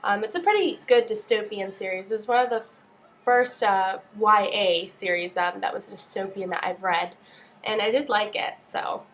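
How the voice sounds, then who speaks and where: A woman's voice: mid-range voice, fairly bright tone. A young woman with a nasal voice, stuffed up with a cold, chatting casually as she gives book reviews. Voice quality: nasal, congested. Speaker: book vlogger.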